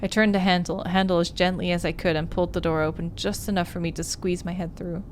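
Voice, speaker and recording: Lower-voiced woman streaming a story game. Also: flat delivery, fast talker, slight background noise. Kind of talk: livestream playthrough, reading visual novel narration aloud.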